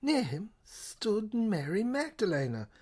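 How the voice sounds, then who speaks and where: A woman narrating an audio play in a halting, theatrical way, repeating words for effect. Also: medium pitch, very animated, darkish tone, husky. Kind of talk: dramatic literary narration, reading all parts.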